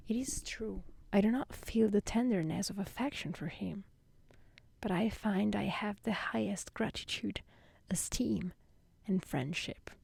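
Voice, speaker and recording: A woman voicing a gentle, remorseful young fairy-tale heroine in a soft, hushed storybook reading. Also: mid-range voice, gravelly, husky, dry recording, exaggerated voice. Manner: soft, hushed, whispery delivery.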